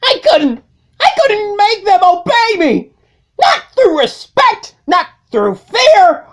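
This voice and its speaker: A woman's voice: very high voice, big pitch swings, slow pace, voice pushed up, exaggerated voice. A woman voicing a resentful, wounded robot lieutenant in a comic dub, strained, pleading and melodramatic. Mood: anguished, pleading. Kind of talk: comic dub dramatic acting.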